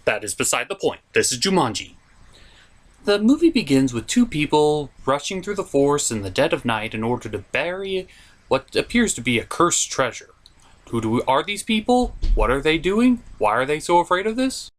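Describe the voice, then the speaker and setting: Male comedic reviewer, lively swinging pitch, recaps a film with sarcastic, incredulous asides. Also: moderate pace. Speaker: host of a movie review puppet show.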